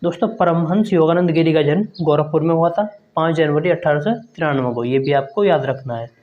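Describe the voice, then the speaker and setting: A man's voice: fairly high pitch, brisk. A man teaching an exam prep lesson, reading quiz questions and answer options aloud and often addressing his viewers as friends. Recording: dull lo-fi sound.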